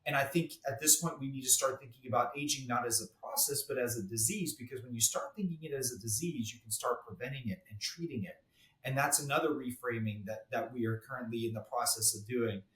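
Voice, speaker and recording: This is a man at a mid pitch, a dermatologist who guests on a podcast. He explains medical ideas in a conversational way and often restarts with a repeated word. The recording has a noticeable roomy echo. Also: brisk, a bit bright. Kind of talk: podcast interview.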